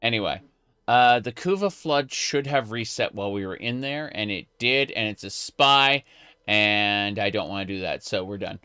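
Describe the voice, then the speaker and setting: A man's voice: lowish voice, lively intonation, moderate pace, pushed and bright, clear, resonant tone, no room sound, studio quality. A man streaming a late-night gaming chat, rambling casually with lots of fillers and restarts. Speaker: streamer and video creator.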